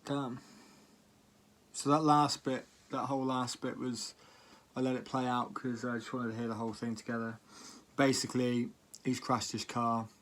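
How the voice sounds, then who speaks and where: A man reacting to music in a casual, conversational way. His pitch moves very little, and he rambles a bit, circling hesitantly around his points as he comments. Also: little pitch movement, normal speed, fairly bright tone.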